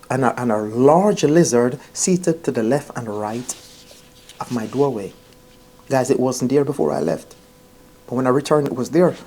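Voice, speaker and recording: Mellow-voiced man, earnest storyteller. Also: medium pitch, a little hiss or hum. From Jamaica. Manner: earnest, emphatic, repeats phrases, often addresses listeners directly. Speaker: vlogger. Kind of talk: informal personal storytelling to viewers.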